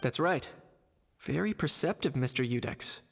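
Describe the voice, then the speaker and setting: Gravelly, reserved young man. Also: fairly high pitch, animated, moderate pace. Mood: serious. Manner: terse, serious. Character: cool, reserved, matter-of-fact young man. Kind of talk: video game voice acting. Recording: hall-like reverb. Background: soft music.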